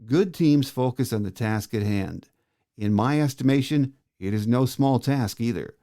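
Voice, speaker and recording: A man's voice: medium pitch, measured pace, mellow. American man hosting a sports podcast. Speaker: podcast host. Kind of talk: scripted sports podcast monologue and interview.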